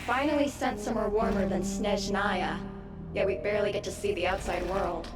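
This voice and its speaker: A woman's voice: medium pitch, moderate pace, natural voice. Actress as echoey, grumbling woman guard. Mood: idle, grumbling. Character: idle woman guard grumbling about her post. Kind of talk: video game voice acting. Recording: echoey room under loud music.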